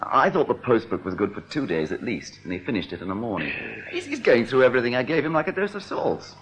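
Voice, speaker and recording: An actor playing an irritable, evasive bank office boss in a radio drama, with a sardonic, testy manner. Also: male voice, medium pitch, very animated, quick pace, a bit bright. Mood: worried, complaining. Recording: dull, noisy old radio recording.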